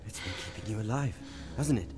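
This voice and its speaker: An actor playing a cool, self-assured wizard in a radio drama, composed and knowing. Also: male voice, on the low side, quick pace, lightly acted. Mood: knowing, grave. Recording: music under the dialogue.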